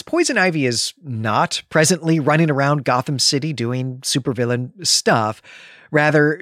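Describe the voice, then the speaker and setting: A man's voice: mid-range voice, fairly bright tone, no echo, clean studio sound. A man hosting a podcast, explaining things conversationally to his co-host and listeners.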